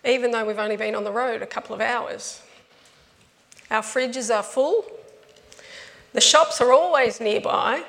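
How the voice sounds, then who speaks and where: A woman's voice: a little high, creaky, fairly bright tone, long reverb tail. A woman pastor giving a conversational sermon, her voice strained from asthma. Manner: conversational storytelling preaching.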